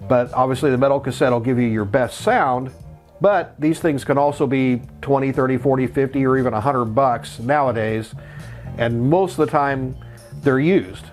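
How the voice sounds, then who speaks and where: A man's voice: mid-range voice, moderate pace. A middle-aged man hosting a casual how-to tutorial, explaining things plainly, step by step. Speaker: host of a vintage electronics tutorial channel. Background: music.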